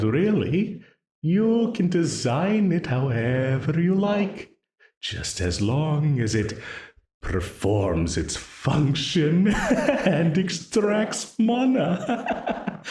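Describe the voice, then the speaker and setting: A man voicing a mocking male archdemon in an audio roleplay. He speaks with a smooth, teasing, leisurely menace and chuckles often. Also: mid-range voice, a little mellow, exaggerated voice. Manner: slow, leisurely menace broken by frequent chuckles. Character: mocking, amused male archdemon binding a ruler to a contract. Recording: roomy echo.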